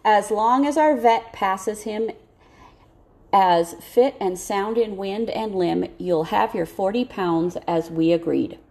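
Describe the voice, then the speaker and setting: Storybook role: woman as an elegant cavalry gentleman officer, kindly, brisk and sure of himself, creak in the voice. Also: mid-range voice. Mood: brisk, assured. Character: elegant, kindly, self-assured male army officer.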